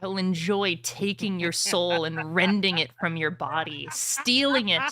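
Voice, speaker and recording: A woman's voice: fairly low pitch, measured pace, bright, forceful tone, no room sound. A woman voicing a scornful, menacing demon-tainted warrior with a taunting, contemptuous manner.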